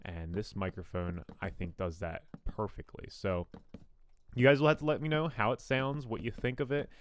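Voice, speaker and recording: Deep-voiced young man, rambling. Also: fairly even intonation, moderate pace. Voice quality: fairly deep radio-style voice. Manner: rambling, self-deprecating, frequent ums and restarts. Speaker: gaming YouTuber. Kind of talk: casual commentary while building in a game. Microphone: new studio condenser mic.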